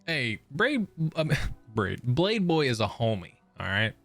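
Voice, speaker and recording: A man's voice: medium pitch, big pitch swings, measured pace, vocal fry, a bit bright. A man streaming a game and reacting casually in a slangy, jokey way. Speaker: gaming streamer. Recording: game music behind the voice.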